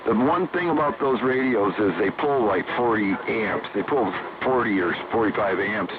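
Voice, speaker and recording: Low-voiced man on noisy CB radio. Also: normal speed. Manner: rambling, slangy, swears casually. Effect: heard over CB sideband radio. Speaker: amateur CB radio operator. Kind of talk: casual on-air radio chat. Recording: noisy, narrow-band CB radio transmission.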